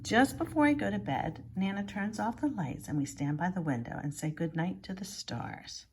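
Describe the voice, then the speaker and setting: A woman's voice: mid-range voice, normal speed, a little mellow, husky. A woman reading a children's picture book aloud like a schoolteacher, expressively and with exaggerated emphasis.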